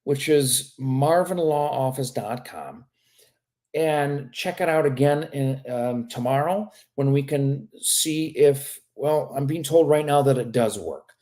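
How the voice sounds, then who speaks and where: A man's voice: medium pitch, takes it slowly, warm tone. Frank man answering live viewer questions. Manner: casual, frank, keeps tagging on "okay?"; reads viewer questions aloud and answers them. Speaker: immigration lawyer. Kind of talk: live stream Q&A.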